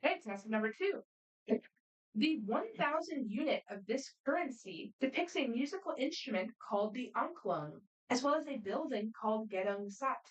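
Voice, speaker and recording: A woman moderating a student quiz competition, reading questions aloud in a brisk, friendly, matter-of-fact way. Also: on the high side, animated. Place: competition room. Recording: voice picked up from a distance.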